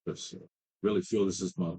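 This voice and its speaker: A man in his fifties telling his recovery story as a treatment client, halting, with many fillers and restarts. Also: low voice, fairly even intonation, gravelly, mellow, breathy edge. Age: 50s. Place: treatment center.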